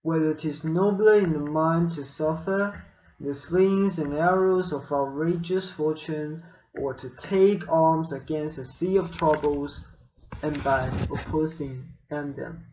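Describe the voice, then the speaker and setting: A man performing a melancholy, world-weary young prince in a Shakespearean soliloquy, with measured, pensive phrasing. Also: fairly high pitch, steady tone, darkish tone, muffled recording, a little hiss or hum. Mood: brooding.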